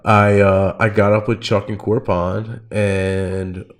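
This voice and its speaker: Guy hosting a podcast, lowish voice, telling stories real casual and slangy. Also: flat delivery, slow. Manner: informal, slangy, frequent 'like'. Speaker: podcast host. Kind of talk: casual chat, personal storytelling.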